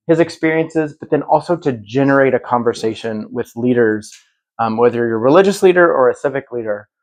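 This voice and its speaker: Male moderator, medium pitch, prepared remarks, earnest, measured, explanatory delivery. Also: poor audio. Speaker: moderator of a panel event. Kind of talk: prepared introduction to a live public conversation.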